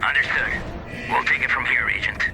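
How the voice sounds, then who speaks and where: An actor playing a hard-bitten, brusque army major in a video game, giving commanding military orders. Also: male voice, mid-range voice, a little fast, music in the background, close to an everyday voice. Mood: brisk, commanding.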